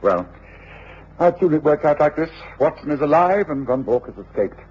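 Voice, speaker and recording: A man's voice: fairly high pitch, expressive, normal speed, natural voice. Male actor, English radio detective, clipped, commanding, reasoning, muffled echoey vintage recording. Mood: cool, resolute. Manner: clipped, urgent, authoritative. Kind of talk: 1950s-60s British radio drama acting. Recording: echoey, dull, noisy old radio recording.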